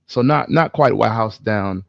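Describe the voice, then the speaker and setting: A man's voice: fairly low pitch, a little slow, gravelly, no room sound, lo-fi sound. A man podcast co-host chatting casually, opinionated and emphatic, swearing freely as he makes his points. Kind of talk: casual chat about video game movies.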